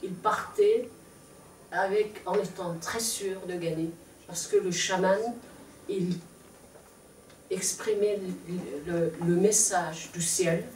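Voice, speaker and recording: A woman's voice: fairly low pitch, lively intonation, slow, long pauses, darkish tone, a little hiss or hum. Mongolian-accented woman in an echoey hall. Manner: hesitant with frequent fillers. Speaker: interpreter and conference presenter. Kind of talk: live conference talk. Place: lecture room. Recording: echoey room, mic far off.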